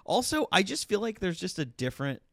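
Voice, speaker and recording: A young man co-hosting a podcast in a small studio, chatting in a relaxed, rambling way with many fillers. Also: sits high, a little fast, gravelly, no room sound. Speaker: podcast co-host, comedian. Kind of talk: casual podcast chat. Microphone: studio microphone.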